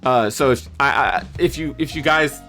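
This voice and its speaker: Bright-voiced man chatting on a livestream. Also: mid-range voice, moderate pace. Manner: animated, hesitant, appreciative toward viewers. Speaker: livestreamer and amateur voice actor. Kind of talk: casual live stream chat, reading out viewer comments. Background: piano background music.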